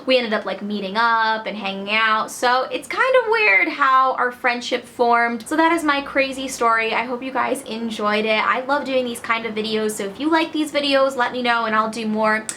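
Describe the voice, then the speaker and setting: A woman from Connecticut telling a story to camera in a chatty, lively way. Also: on the high side, normal speed, few pauses, slight background noise. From Connecticut, USA. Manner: chatty, lively, acts out quoted voices. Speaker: vlogger.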